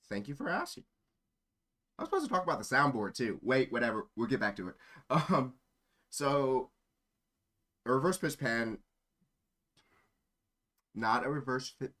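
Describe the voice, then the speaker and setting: A man's voice: medium pitch, big pitch swings, measured pace, long pauses, pushed and bright. A man streaming casual chat in a rambling, easily sidetracked way. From born in Germany. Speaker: live streamer.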